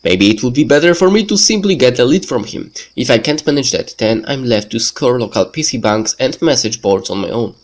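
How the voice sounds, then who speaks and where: A man who is a bit sick hosts a let's play, reading a visual novel script aloud in a halting, stumbling way. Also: mid-range voice, expressive. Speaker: let's play video host. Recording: music behind the voice. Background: background game music.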